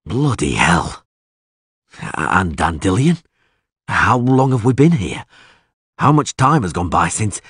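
A man acting out a battered, impatient monster hunter for a fantasy audiobook. He is blunt and foul-mouthed, with a husky edge and an animated, swinging pitch. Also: takes it slowly, pauses often, no room sound, studio quality, exaggerated voice. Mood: groggy, alarmed. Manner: blunt, cursing, barking questions. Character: gruff male monster hunter, groggy, impatient, cursing.

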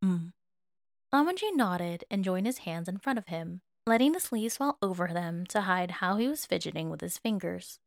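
A woman reading scripted fiction as narrator, in a measured, expressive manner. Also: on the low side, vocal fry, no room sound, clean studio sound. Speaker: narrator, fan fiction reader.

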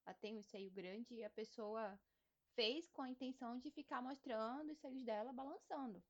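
A woman co-hosting a casual fan podcast, quick and animated as she leads the topics. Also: fairly high pitch. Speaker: podcast co-host. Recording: muffled lo-fi audio.

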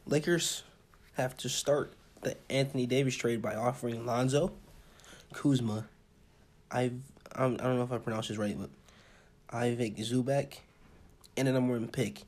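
A young American man with a husky voice, co-hosting a casual sports chat in an informal, halting way and often repeating himself. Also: medium pitch, fairly even intonation, a little mellow. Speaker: podcast co-host.

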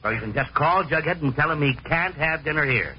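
A man's voice: a little high, expressive, brisk, close to an everyday voice. An actor playing an exasperated middle-aged father in a 1940s radio comedy, blustering and stammering. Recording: muffled, noisy 1940s radio broadcast.

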